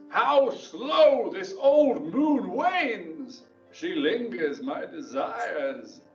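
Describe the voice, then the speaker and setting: An actor playing a stately, amorous duke of Athens in a Shakespearean audio drama, with grand lyrical declamation. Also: male voice, high-pitched, full character voice. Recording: dull, echoey playback from a distance.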